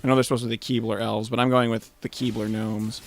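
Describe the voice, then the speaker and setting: A man's voice: on the low side, flat delivery, fast. A man playing a video game and chatting casually, with wry joking asides. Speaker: gaming video co-host and player. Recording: slightly noisy home gameplay recording.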